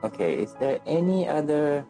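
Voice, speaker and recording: A man in his thirties or forties giving casual, low-key gameplay commentary, thinking aloud in terse phrases. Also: lively intonation, takes it slowly, warm tone, poor audio. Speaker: game streamer. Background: game music.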